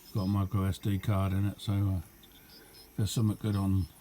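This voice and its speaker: A man's voice: sits low, little pitch movement, moderate pace, mellow, slight background noise. A man giving a casual, unscripted show-and-tell as a hobbyist, rambling along in an understated way. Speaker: hobbyist demonstrating a homemade radio.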